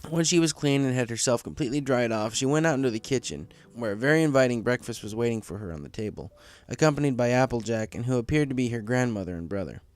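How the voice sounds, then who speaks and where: A man's voice: medium pitch, expressive, fast, few pauses, no echo. A man reading a story aloud as a scripted narrator, in a storybook style. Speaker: fan fiction story reader.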